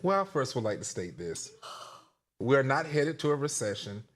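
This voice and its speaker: A man speaking as a talk show panelist in unscripted discussion, earnest and halting, often repeating his words. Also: medium pitch, sing-song.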